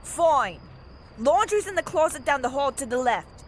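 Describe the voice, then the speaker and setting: A woman's voice: sits high, lively intonation, moderate pace, slight background noise. A woman playing a brusque, suspicious and cruel workhouse overseer who snaps and scolds, in a children's audio drama. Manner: snappish, scolding.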